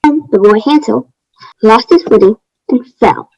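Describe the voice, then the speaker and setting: A woman's voice: fairly high pitch, a little slow, vocal fry, a little mellow. A woman playing a prim, aggrieved fairy-tale woman, matter-of-fact and self-justifying, in readers theater. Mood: aggrieved, firm. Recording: dull, lo-fi microphone sound.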